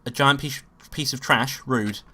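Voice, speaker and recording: A man's voice: mid-range voice, measured pace, pushed and bright. A man with a cold and a nasal voice, hosting a let's play and reading out a visual novel with casual, swearing asides. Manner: swearing, offhand asides between read lines. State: sick and nasal. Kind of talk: casual let's play commentary and read-aloud. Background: game music.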